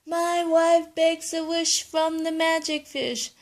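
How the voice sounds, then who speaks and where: A woman voicing a meek old grandpa in a bedtime story, in a high sing-song plea. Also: completely flat delivery, slow pace, full character voice. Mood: pleading.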